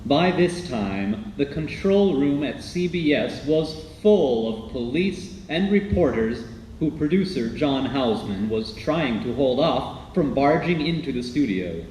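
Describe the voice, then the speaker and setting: Man as animated, suspenseful radio narrator. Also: medium pitch. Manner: suspenseful, rhetorical. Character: suspenseful old-time radio play narrator. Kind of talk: dramatic scripted narration. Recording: roomy echo, faint noise.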